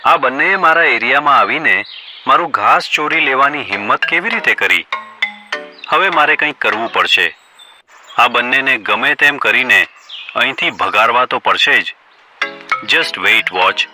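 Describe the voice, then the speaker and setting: A man plays a sly male donkey in a children's cartoon who talks smoothly and schemes. His voice is mid-pitched and very animated, with music playing behind. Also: measured pace, long pauses, close to an everyday voice. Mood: scheming. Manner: crafty, smooth-talking. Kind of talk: cartoon voice acting. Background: background music.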